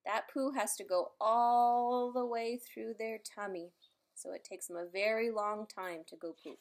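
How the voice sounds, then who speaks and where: Lady doing a kids read-aloud, really slow pace, bit high pitched, playful n expressive. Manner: playful, expressive, simple child-directed delivery with exclamations. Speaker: picture book read-aloud reader. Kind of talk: children's read-aloud with chatty asides to young listeners. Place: indoors in a room at home.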